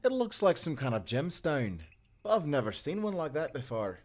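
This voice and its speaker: A man's voice: fairly high pitch, very animated, moderate pace. Man playing earnest timberman sailor. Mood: awed, wary. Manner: earnest, old-time seafaring speech. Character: kind, principled male timberman sailor of the 1790s. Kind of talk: cinematic audio roleplay.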